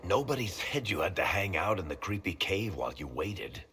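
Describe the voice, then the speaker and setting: An actor playing a gruff, worldly, kindly mentor in a video game, with a blunt, streetwise, casual manner. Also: male voice, deep voice, very animated, moderate pace, bright, forceful tone, a little hiss or hum, heavily acted. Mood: easy, wry. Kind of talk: video game cutscene acting.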